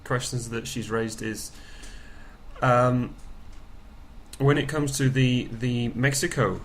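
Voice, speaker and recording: A man taking part in a conference call, putting questions in a tentative, halting way with repeated words. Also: medium pitch, steady tone. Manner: hesitant, repetitive, tentative. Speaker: programme participant relaying questions. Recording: conference call with faint noise.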